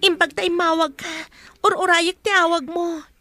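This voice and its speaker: A woman's voice: very high voice, fairly even intonation, moderate pace. A woman playing a young, earnest captive niece in an audio drama, speaking respectfully to her elders. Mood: earnest, hopeful. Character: young captive heiress Eva, pleading, hopeful, dutiful niece. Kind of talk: Ilocano audio drama acting.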